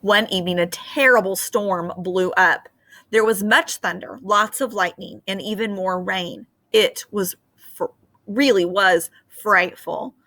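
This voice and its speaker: A woman's voice: medium pitch, measured pace, dry recording. A middle-aged woman teacher reading a storybook aloud with expression, then explaining its moral to students over a webcam. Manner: expressive and animated. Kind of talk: storybook read-aloud and lesson. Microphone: webcam on a screen share.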